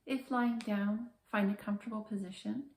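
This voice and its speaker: A woman guiding a body scan meditation in a calm, gentle, measured manner with slow, soothing pauses. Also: mid-range voice, echoey room. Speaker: meditation guide, therapist.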